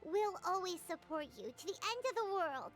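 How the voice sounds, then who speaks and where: A woman voicing a small, chirpy female fairy companion in a video game, talking in a high, bouncy way. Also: animated, moderate pace, soft background music. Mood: caring.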